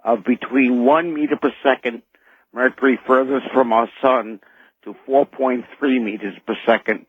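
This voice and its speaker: A man's voice: mid-range voice, steady tone, moderate pace, slightly rough, no room sound. A man calling a radio show, reading out a prepared technical statement in a stiff, formal way. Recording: dull phone line.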